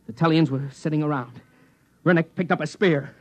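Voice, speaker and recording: An actor playing a nervous, exasperated space explorer in a 1950s radio drama, with wry narration and dialogue. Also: male voice, on the high side, normal speed, close to an everyday voice. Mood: wry, weary. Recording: dull vintage radio recording.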